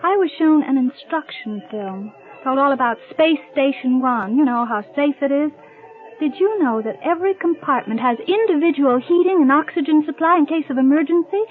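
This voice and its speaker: High-pitched playful young American wife. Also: female voice, animated, moderate pace, faint music behind. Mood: teasing. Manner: teasing, theatrical delivery. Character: playful, devoted young wife and space service lieutenant. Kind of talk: 1950s radio drama acting. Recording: muffled, phone-like old radio recording.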